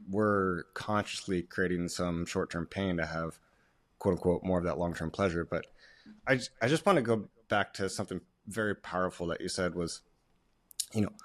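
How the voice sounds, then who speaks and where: A man with a very deep voice co-hosts a podcast in relaxed conversation. He talks in a measured, reflective way, leaning on short, punchy sayings. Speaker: podcast co-host. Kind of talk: casual podcast talk.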